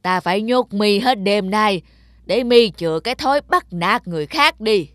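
A man's voice: high voice, lively intonation, moderate pace, a bit bright, dry recording, clean studio sound. A man voicing a protective older uncle-like cooking pot in a cartoon, firm and avuncular. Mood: stern. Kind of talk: cartoon character acting.

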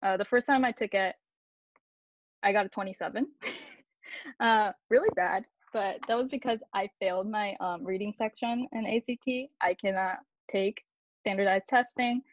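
A woman's voice: medium pitch, moderate pace, no room sound. Young woman on a dull video call. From grew up in Japan and Taiwan. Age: young adult. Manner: chatty, self-deprecating, many fillers. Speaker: college student on alumni panel. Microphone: laptop mic on a video call.

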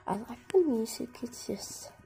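Croaky, hushed girl gamer. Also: on the high side, expressive, measured pace, rough recording. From Australia. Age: child. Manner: soft, half-whispered, rambling with many "like" and "um". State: croaky from a cold. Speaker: gaming channel host. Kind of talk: casual game tour commentary. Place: at home, filming a laptop screen. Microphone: phone camera.

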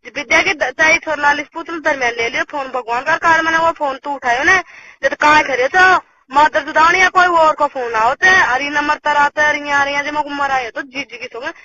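A woman's voice: high voice, normal speed. A woman with a Rajasthani accent, chatting casually and privately as a caller on the phone. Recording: dull lo-fi phone call, background noise.

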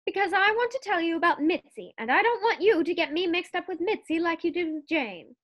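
Woman acting a very high-pitched, sassy wife. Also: expressive, fairly quick, fairly bright tone, dry recording. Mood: matter-of-fact. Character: sassy, exasperated, playful young sitcom wife. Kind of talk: old-time radio sitcom acting.

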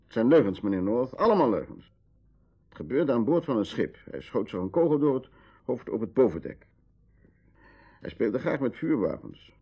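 An actor playing an elderly, troubled and protective grandfather in a radio drama, with a gravelly, husky voice. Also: mid-range voice, very animated, moderate pace, pauses often. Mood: indignant, distressed. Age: older. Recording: dull vintage radio recording.

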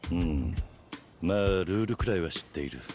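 An actor playing a stoic, weary middle-aged tough guy in a video game, terse, gruff and restrained. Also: low-pitched, expressive, moderate pace, over music. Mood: calm. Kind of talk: video game cutscene acting.

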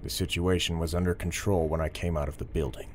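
An actor playing a principled, stubborn police officer who speaks in a measured, firm and formal way in an audio drama. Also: male voice, low-pitched, little pitch movement, darkish tone, slight background noise, lightly acted. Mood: firm, defensive.

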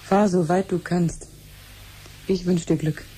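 A woman's voice: on the low side, fairly even intonation, takes it slowly, mellow, a little hiss or hum, low-quality recording. An actress playing a wry, encouraging female relative in a science-fiction radio play. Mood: wry, kindly.

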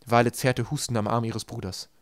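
A man's voice: medium pitch, flat delivery, fairly quick, no echo. Man narrating a storybook, close and dry. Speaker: audiobook reader.

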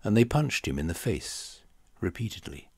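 A man reading scripture as a scripted audiobook narration, in a deliberate, dramatic storytelling manner. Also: low-pitched, sing-song, husky, no room sound, clean studio sound. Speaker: audiobook reader.

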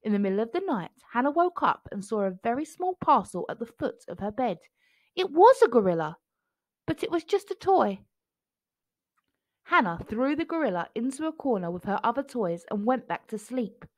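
A woman reads a children's story aloud in a fairly high, very melodic voice. Her phrasing is clear and expressive, made for young listeners, and the sound is dry. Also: moderate pace, no echo. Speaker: children's book narrator. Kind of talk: expressive picture-book read-aloud.

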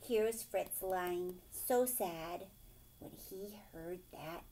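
A woman in her thirties reading a children's picture book aloud expressively, pausing to explain and ask questions like a teacher. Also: fairly low pitch, warm tone. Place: furnished living room, indoors.

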